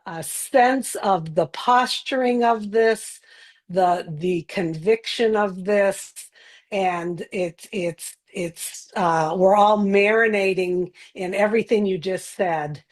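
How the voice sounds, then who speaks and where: Female panel co-host, unhurried and halting, casual appreciative chat, mid-pitched voice.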